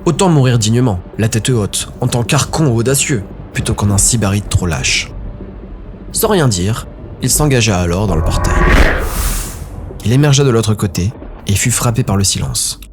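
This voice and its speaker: Low-voiced man narrating over music. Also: expressive, normal speed. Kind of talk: dramatic scripted story narration. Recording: background music under narration.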